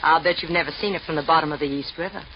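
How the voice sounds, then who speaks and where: A woman's voice: deep voice, racing. An actress playing a tough, mocking female gang boss in a 1940s radio noir drama, with coolly menacing delivery. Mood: sardonic threat. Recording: muffled, noisy old radio recording.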